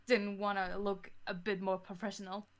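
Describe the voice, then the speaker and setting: A woman's voice: medium pitch, little pitch movement, normal speed. A woman chatting casually as a guest on an interview podcast. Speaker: podcast guest, audio drama creator. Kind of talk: casual chat.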